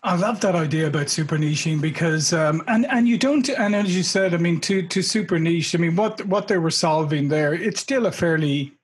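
A man's voice: fairly high pitch, moderate pace, few pauses, slightly rough. A man, hosting a video-call interview, chatty and loose, often rephrasing himself, into a desk mic. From Ireland. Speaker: host. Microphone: desk microphone.

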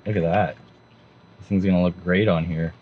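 A man's voice: deep voice, fairly even intonation, moderate pace, phone-quality sound. A man, giving a laid-back, informal hands-on tutorial as a vlogger. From Canada. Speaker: vlogger presenting a vehicle install. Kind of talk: casual hands-on tutorial talk to camera. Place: in a vehicle and outdoors under an overpass.

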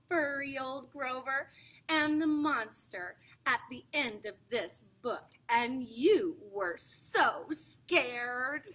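A woman's voice: sits high, bright, forceful tone, voice pushed down, full character voice. A woman voicing a lovable furry male monster who pleads frantically, in a storybook read-aloud. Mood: sheepish.

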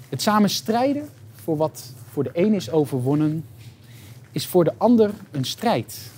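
A man's voice: fairly high pitch, warm tone, slight background noise. A man reciting a reflective poem as spoken word. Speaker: poet reciting.

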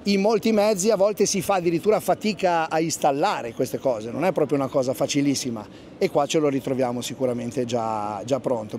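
A man's voice: on the high side, darkish tone, a little hiss or hum. Enthusiastic man at a marina. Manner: enthusiastic, repeats "assolutamente". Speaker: fishing guide. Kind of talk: interview at a boat show. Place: outdoor marina at a boat show.

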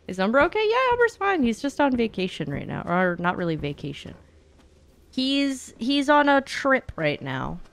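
A young woman hosting a gaming livestream, chatting casually, reacting loudly and swearing freely. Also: a little high, big pitch swings, a little slow, vocal fry. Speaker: livestream host and speedrunner. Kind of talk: casual gaming stream commentary. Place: indoors, gaming room at home.

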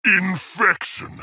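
Gravelly, menacing rogue AI warlord. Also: male voice, mid-range voice, bright, forceful tone, no echo, studio quality, exaggerated voice. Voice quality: gravelly, harsh. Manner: haughty, bellicose, emphatic. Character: menacing, boastful rogue alien AI warlord, contemptuous of the weak. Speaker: game announcer. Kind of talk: video game announcer voice lines.

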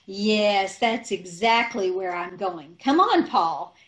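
A woman acting a scatterbrained, innocent-sounding wife in an old-time radio comedy, deadpan and unhurried, over a video call. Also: medium pitch. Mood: innocently evasive. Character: scatterbrained, artfully innocent wife, mangles sayings. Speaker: amateur radio troupe actor.

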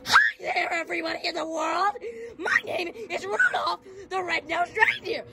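A woman voicing a whiny, excitable young reindeer in a dramatic, wailing comedy skit, recorded outdoors on amateur gear. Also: very high-pitched, moderate pace, shouting, heavy background noise. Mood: manic, defiant.

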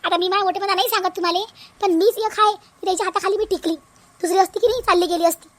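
A woman voicing a shrewd, aggrieved village wife and mother in a family comedy cartoon, brisk and practical, with a rural Vidarbha Marathi accent. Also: very high voice, fairly even intonation.

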